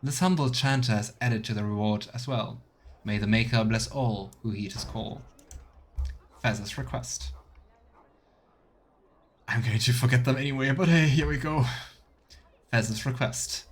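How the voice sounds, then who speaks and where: A man's voice: mid-range voice, unhurried, long pauses. A man doing a let's play, reading quest text aloud and making casual asides as a game streamer.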